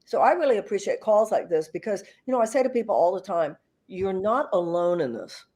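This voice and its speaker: A woman's voice: medium pitch, expressive, a little fast, mellow. An older woman from the United States, speaking earnestly and reflectively as a guest on an online panel, with many fillers and restarts. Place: video call.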